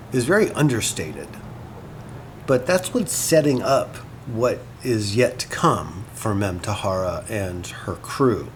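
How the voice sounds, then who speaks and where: Male lecturer: thoughtful scholar, deliberate and slow, halting, informal, audible background noise. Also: mid-range voice. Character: male academic lecturer on ancient literature, musing, earnest. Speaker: lecturer and podcast host.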